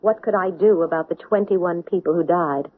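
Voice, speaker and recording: An actress playing a firm doctor pressing a suspect for answers in a 1970s radio drama. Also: female voice, fairly low pitch, normal speed, a little hiss or hum, lightly acted. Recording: tinny, dry old radio broadcast.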